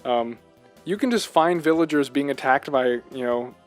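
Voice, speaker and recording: A man's voice: medium pitch, moderate pace, soft background music. A man co-hosting a casual video game chat, gushing with enthusiasm and rambling from thought to thought. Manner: rambling, jumps between thoughts. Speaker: podcast host.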